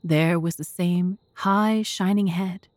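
A woman narrating a classic mystery audio drama in a measured, literary storytelling manner. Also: fairly low pitch, fairly even intonation, darkish tone, no room sound, clean studio sound.

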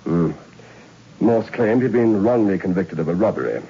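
A man's voice: fairly low pitch, lively intonation, normal speed, warm tone. An actor playing a stern male prison governor, an army major, speaking in a clipped, commanding way in a 1960s radio drama. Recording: dull lo-fi old radio recording with faint hiss.

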